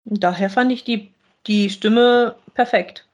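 A woman's voice: mid-range voice, unhurried, muffled recording. A woman, co-hosting a podcast and chatting casually as she gives a review. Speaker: podcast co-host.

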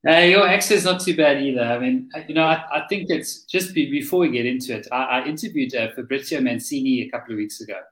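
A man's voice: medium pitch, fast talker, hardly any pauses, fairly bright tone, echoey room, low-quality recording. A man hosting a podcast interview over a video call, talking in a conversational, thoughtful way. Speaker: podcast host. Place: home studio on a video call.

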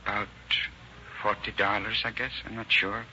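Unhinged killer in noisy old radio drama. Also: male voice, fairly low pitch, level tone, normal speed, bright, forceful tone. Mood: dazed, subdued. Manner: menacing, agitated, repetitive. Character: unhinged volatile male robber and hammer killer. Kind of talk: 1930s radio drama acting. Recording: muffled, noisy old 1930s radio recording.

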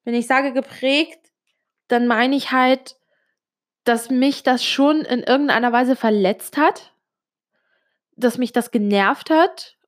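A young woman from Switzerland whose first language is Italian, speaking in a slightly raised voice. She confides her own story directly to the listener, pausing often with small fillers as she talks. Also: sits high. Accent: Italian-Swiss German speaker with little Swiss accent. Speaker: vlogger. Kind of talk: personal storytelling to camera.

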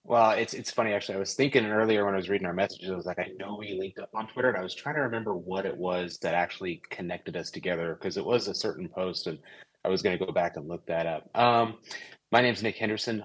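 Low husky-voiced man. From United States, raised in Papua New Guinea. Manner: relaxed, thoughtful, with frequent fillers and restarts. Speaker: podcast guest, commercial pilot. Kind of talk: casual conversational interview.

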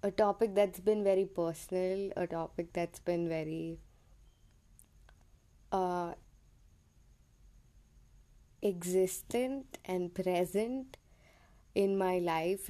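Slow, hesitant Indian-accented woman. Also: lowish voice, fairly even intonation, dark tone. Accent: Indian English, drifting toward American. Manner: hesitant, many ums and self-corrections. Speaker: podcast host. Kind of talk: casual personal monologue.

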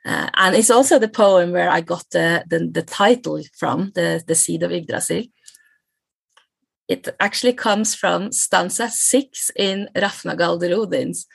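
A woman's voice: on the low side, creaky, husky, no echo. A middle-aged woman from Norway with a Norwegian accent, speaking English as a guest lecturer in a casual interview, thoughtful and hesitant, often restarting and laughing. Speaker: guest, lecturer on Norse myth.

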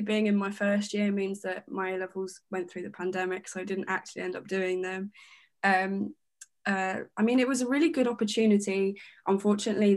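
A woman's voice: medium pitch, a little mellow. A young woman from Kent with a mid-range voice talks in an easygoing, conversational way. She is a first-year student guest on a podcast. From Kent, England. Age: young adult. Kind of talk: casual podcast chat.

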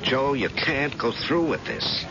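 An actor playing a worried, skeptical private detective in a radio drama, blunt and cautioning. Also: male voice, mid-range voice, slow, vocal fry. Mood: urgent warning. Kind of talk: old-time radio drama acting. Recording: noisy, muffled old radio recording.

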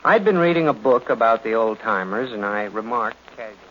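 An actor playing a glib, wry detective's assistant who narrates and needles in old radio drama. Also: male voice, mid-range voice, animated, fairly quick, a bit bright, lightly acted. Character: breezy, wisecracking male assistant and narrator of detective. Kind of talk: 1940s radio acting. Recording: muffled old radio recording, faint noise.

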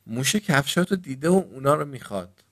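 A man's voice: mid-range voice, lively intonation, a bit bright. Storybook farmer father, acted by a man: cajoling, feigning innocence, mid-range voice. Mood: sly. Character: farmer father, cajoling his young daughter with tall tales. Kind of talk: children's storytelling.

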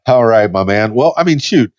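A man's voice: lowish voice, no echo, lo-fi sound. A man in his fifties hosting a casual podcast chat, easygoing and rambling, with frequent restarts. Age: 50s. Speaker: podcast host.

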